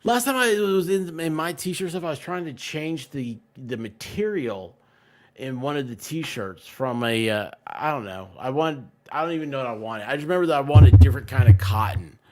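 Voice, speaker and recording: A man's voice: medium pitch, expressive, moderate pace, darkish tone. A man chatting casually on a livestream, rambling along and often trailing off mid-sentence. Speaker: online content creator and streamer.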